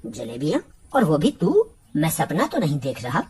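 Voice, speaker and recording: A woman's voice: low-pitched, huge pitch swings, moderate pace, a little mellow, slightly rough, pitched below the performer's own voice, exaggerated voice. A woman voicing a curious, suspicious but good-hearted village man in a cartoon, earnest and questioning. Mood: teasing, suspicious.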